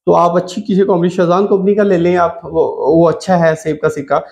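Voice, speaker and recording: A Pakistani-accented man speaks in a slightly high register, like a doctor advising followers directly. His delivery is informal and instructive, and he repeats important points for emphasis. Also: flat delivery, fairly quick, gravelly, darkish tone. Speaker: doctor giving diet advice. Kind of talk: informal instructional health talk to camera.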